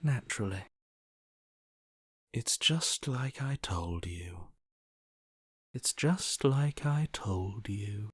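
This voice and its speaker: A man voicing a cold, bored, callous inner voice in a video game, hushed and breathy, with deadpan, dismissive sarcasm. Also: fairly low pitch, very slow, pauses often, no room sound, clean studio sound, exaggerated voice. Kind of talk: video game character acting.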